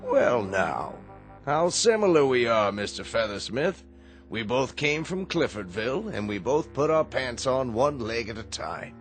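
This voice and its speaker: A man's voice: mid-range voice, very animated, a little slow, faint music behind. An actor playing a condescending, self-satisfied businessman in a radio drama, pompous and patronizing. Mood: smug, patronizing. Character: smug, condescending male tycoon boss.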